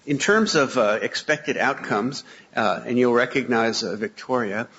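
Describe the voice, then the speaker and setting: A man with a slightly hoarse, husky voice giving a formal presentation to a commission, listing points methodically with frequent "uh" fillers. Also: mid-range voice, lively intonation, unhurried, fairly bright tone, muffled recording, slight background noise. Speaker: city agency staff presenter. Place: public meeting room.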